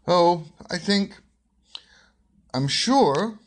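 A man playing an eager, amiable young gentleman in a radio drama. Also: high voice, drawn-out words, full character voice. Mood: bashful, curious. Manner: eager, animated. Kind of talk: old-fashioned radio drama acting.

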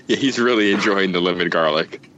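A man's voice: deep voice, fairly quick, a bit bright, muffled recording, a little hiss or hum. A man co-hosting a podcast, bantering casually and tossing in trivia. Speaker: podcast co-host.

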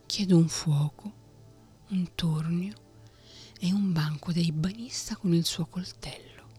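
A woman's voice: lowish voice, a little slow, breathy edge, faint music behind. A woman reading a fairy tale, whispering the lines of a fearless young man who wants to learn fear. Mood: calm, unafraid. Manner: whispered, calm and bold.

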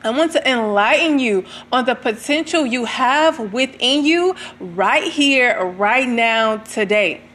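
A woman's voice: on the high side, expressive, slow, few pauses, a bit bright. A woman giving a motivational talk as a guest speaker, chatty and addressing her listeners directly. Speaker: entrepreneur and stylist guest speaker.

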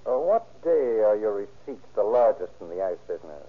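Commanding police chief, lively intonation. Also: male voice, lowish voice. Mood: calm, probing. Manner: brisk, authoritative. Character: commanding, calm male police chief, protective. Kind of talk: 1930s radio drama acting. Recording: tinny muffled 1930s radio recording.

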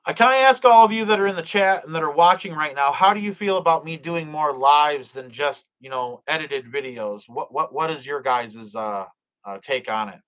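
A man's voice: a little high, lively intonation, moderate pace, fairly bright tone, poor audio. A middle-aged man hosting a live stream, reading the chat aloud, blessing viewers and praying, with plenty of fillers and restarts. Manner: hesitant, many fillers and restarts.

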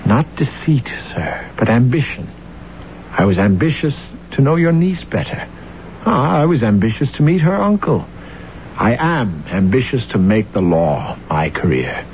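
An actor playing a boastful, ambitious young clerk with a grand, flattering manner, in old-time radio drama style. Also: male voice, mid-range voice, measured pace. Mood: earnest pleading. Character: boastful ambitious young man, a smooth-talking law clerk courting a lady. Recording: tinny, noisy vintage radio recording.

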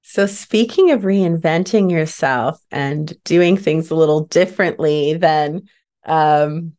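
A woman's voice: low-pitched, slow pace, a little mellow. A woman hosting a podcast, affirming her guest and asking loose, rambling questions. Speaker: podcast host. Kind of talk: podcast interview.

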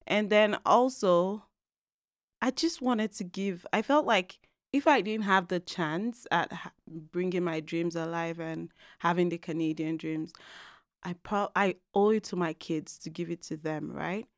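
A Nigerian woman in her thirties telling her story in a casual podcast chat, animated and laughing often. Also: fairly low pitch, measured pace, dry recording, clean studio sound. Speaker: podcast co-host and guest. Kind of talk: casual conversational interview.

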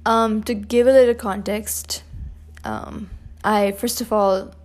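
A young woman hosting her own podcast, talking without a script in a hoarse, scratchy voice while grieving, with many pauses and soft fillers. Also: medium pitch, fairly even intonation. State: grieving, holding back tears. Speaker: podcast host. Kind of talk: unscripted personal podcast talk.

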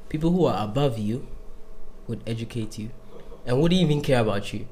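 A man hosting a podcast, asking questions in a relaxed way full of "you know" and "right". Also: medium pitch, a little fast, slight background noise. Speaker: podcast host. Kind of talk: casual interview chat.

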